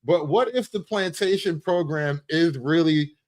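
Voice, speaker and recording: A man hosting a livestream with casual satirical commentary, speaking in an animated, profane, mock-enthusiastic way and repeating phrases for emphasis. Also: on the high side, unhurried.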